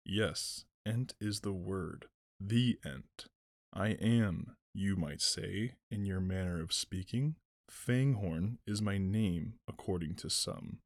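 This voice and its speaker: A man voicing an ancient, slow-spoken male tree giant with a deep, humming voice. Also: no room sound, full character voice. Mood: slow ponderous. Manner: slow, ponderous, murmuring.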